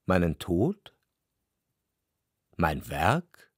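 An actor playing a wealthy, brooding man in love who narrates his memories in a measured, reflective way for a radio play. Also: low voice, big pitch swings, breathy edge, no echo, clean studio sound, heavily acted. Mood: solemn, melancholy. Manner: measured, reflective, deliberate phrasing. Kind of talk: literary radio drama narration.

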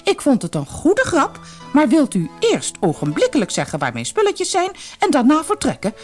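Woman as a flustered, indignant rabbit, swooping high. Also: huge pitch swings, moderate pace, a little mellow, faint music behind. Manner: stammering, blustering. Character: polite but indignant male rabbit, easily flustered. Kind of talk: storybook character voice.

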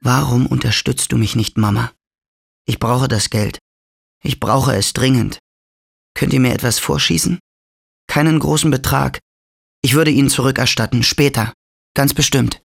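A husky, reserved grown son. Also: male voice, mid-range voice, steady tone, normal speed, pauses often. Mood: pleading. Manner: restrained, turning pleading. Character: adult son asking his widowed mother for money. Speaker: actor playing Adolf, a grammar-school teacher. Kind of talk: radio play acting.

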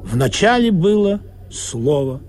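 An actor playing a wistful, questioning sailor who tells of an absurd sea voyage in a deliberate, musing radio play manner. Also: male voice, fairly high pitch, sing-song. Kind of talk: literary radio play narration and acting. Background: music under the voice.

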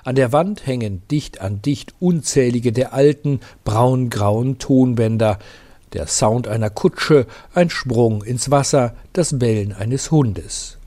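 Mellow-voiced man narrating a radio feature. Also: mid-range voice, takes it slowly. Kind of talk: scripted narration.

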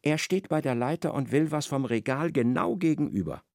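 A male narrator reading a literary radio drama with measured, expressive delivery. His gravelly voice moves lively through its range, and the recording is close and dry. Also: fairly high pitch, dry recording.

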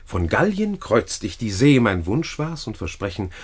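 An actor playing a scheming, flattering Roman gentleman in a classical radio play, speaking courtly, florid verse. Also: lowish voice, measured pace, husky, heavily acted. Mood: courteous, persuasive.

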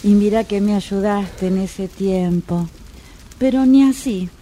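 An actress playing a gullible, resentful longtime client of a fortune teller in a radio drama, with an Argentine accent and a confiding, dramatic way of telling things. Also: female voice, medium pitch, mellow, slight background noise, natural voice. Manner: confiding, dramatic storytelling with pauses.

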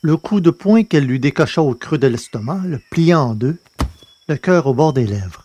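Man reading a novel aloud, steady. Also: on the high side, normal speed, slight background noise. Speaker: audiobook narrator. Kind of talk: scripted narration of a science-fiction novel.

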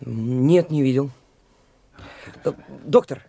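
A man's voice: medium pitch, slow pace, dark tone, no room sound. An actor playing Mitya, a brash, pushy young man who talks in a taunting, blunt way, in a studio radio play.

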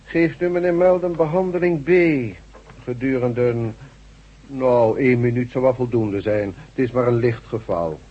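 An actor playing an authoritative, condescending doctor in a radio drama, patronising and assured. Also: male voice, mid-range voice, normal speed, slightly rough, natural voice. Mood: brisk, instructing. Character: brisk, condescending male doctor, smoothly authoritative. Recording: dull, muffled radio drama recording.

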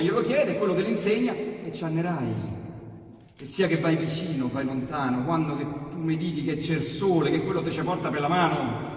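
Echoey Roman man reciting verse. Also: high-pitched. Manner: dramatic, with pauses and emphatic repetitions. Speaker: actor reciting dialect poetry. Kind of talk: theatrical storytelling recitation of verse. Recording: echoey hall, distant mic, piano accompaniment. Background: piano music.

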